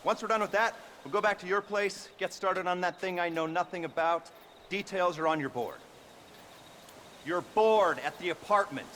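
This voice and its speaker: A man's voice: high voice, moderate pace, fairly bright tone, slight background noise. A man playing a heist planner giving instructions in a video game. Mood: businesslike. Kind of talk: video game cutscene acting.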